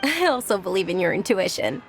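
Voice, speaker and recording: An actress playing a polite, gentle, earnest young noblewoman in a video game. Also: mid-range voice, very animated, brisk, slight background noise. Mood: pleasant. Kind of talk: video game character acting. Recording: game music behind voice.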